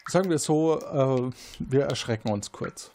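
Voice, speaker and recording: A man's voice: mid-range voice, animated, unhurried, mellow, a little hiss or hum. A man hosting an interactive crime game, narrating scenes as game master in a partly improvised, playful way.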